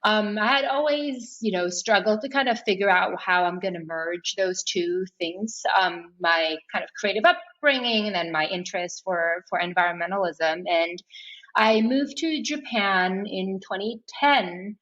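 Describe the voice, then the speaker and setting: A woman's voice: mid-range voice, measured pace, a bit bright, dull audio. A woman in her thirties from Switzerland, telling her story conversationally as an interview guest. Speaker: podcast guest, nonprofit co-founder.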